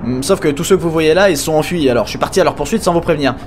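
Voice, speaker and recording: A man playing an excitable, sarcastic henchman in a comedic audio drama, with a chatty, nervy delivery. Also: fairly high pitch, very fast, a little hiss or hum, close to an everyday voice. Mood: glib, hurried. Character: glib, excitable, sarcastic male clone henchmen.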